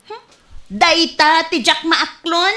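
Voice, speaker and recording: Actress doing exasperated ilocano woman in radio comedy, really high voice, swoops all over, sharp tongue. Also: expressive, heavily acted. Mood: scornful. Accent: Filipino, Ilocano. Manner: theatrical, emotional, drawn-out delivery.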